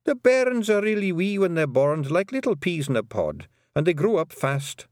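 A man's voice: on the high side, sing-song, moderate pace, darkish tone, dry recording, clean studio sound, voice pushed up. A man voicing a tiny, dying old fairy clan mother, bossy and shrewd, in a lilting rural brogue. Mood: commanding. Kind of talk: audiobook character voice.

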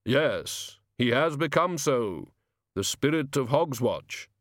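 Male reader doing Death, measured and unhurried, dry close recording. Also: mid-range voice, sing-song, a bit bright, no echo, pitched above the performer's own voice. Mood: grave. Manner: slow, measured, grave. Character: Death, ancient calm male personification.

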